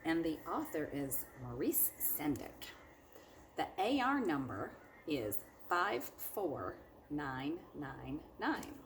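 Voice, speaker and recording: A woman reads a children's story as a teacher would to students. Her voice is low and swings widely in melody, with slow, dramatic phrasing. There is some noise behind her. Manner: expressive, dramatic, with drawn-out words and hushed moments. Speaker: teacher reading aloud to students. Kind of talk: animated children's picture book read-aloud.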